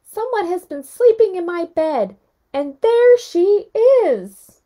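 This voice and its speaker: A woman voicing a tiny, upset young male bear cub in a storybook read-aloud, speaking high and plaintive. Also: very animated, takes it slowly, mellow, full character voice.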